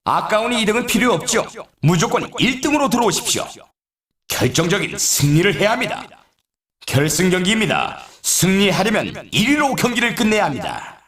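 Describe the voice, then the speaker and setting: High-pitched, excitable race announcer. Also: male voice, brisk. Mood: emphatic, announcing. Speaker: sports game announcer. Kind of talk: scripted race commentary.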